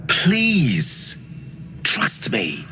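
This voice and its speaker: A man's voice: medium pitch, huge pitch swings, drawn-out words, bright, forceful tone, a little hiss or hum, exaggerated voice. An actor playing an earnest, genteel male wizard in a fantasy game, with a formal, wordy, courteous manner. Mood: persuasive, pleading. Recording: music under the voice.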